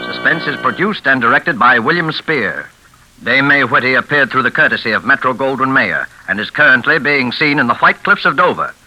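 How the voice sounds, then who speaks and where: A man announcing a 1940s radio show in a measured, dramatic style. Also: medium pitch, animated, bright, forceful tone, soft background music. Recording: crackly, faintly noisy old radio.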